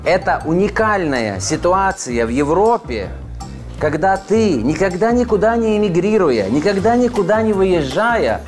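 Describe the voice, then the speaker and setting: A man's voice: sits high, very animated, normal speed. Thoughtful man, hesitant, over background music. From Uzhhorod, western Ukraine. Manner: thoughtful, hesitant, with frequent filler sounds and self-corrections. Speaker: guest, author. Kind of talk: relaxed conversational interview. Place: cozy indoor room full of wall clocks, seated at a table.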